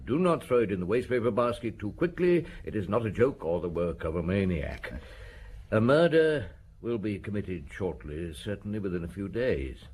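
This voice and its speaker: An actor playing a shrewd, wryly amused chief inspector in a radio drama, both talking and narrating. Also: male voice, on the low side, slight background noise. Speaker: actor in the lead role. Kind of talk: radio drama dialogue and first-person narration.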